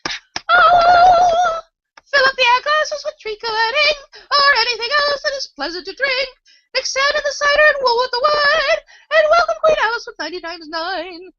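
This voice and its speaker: A woman singing as a shrill-voiced herald at a feast, very high and bright, in a storybook reading. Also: lively intonation, moderate pace, lo-fi sound, heavy background noise. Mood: jubilant. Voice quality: shrill, sung.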